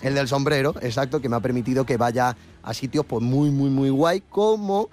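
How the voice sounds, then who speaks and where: A man's voice: mid-range voice, a little mellow, faint music behind. A man in casual comedic radio banter, playful and often breaking off his own sentences. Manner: playful, halting, self-interrupting. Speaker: comic radio segment contributor.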